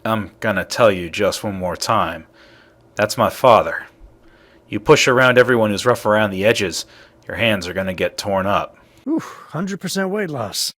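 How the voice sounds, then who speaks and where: An actor playing an easygoing, wry spacefaring explorer and devoted father in a video game, with a husky, raspy voice and a casual, folksy way of talking. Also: lowish voice, very animated, normal speed, a bit bright, dry recording. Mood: firm, angry. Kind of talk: video game character dialogue.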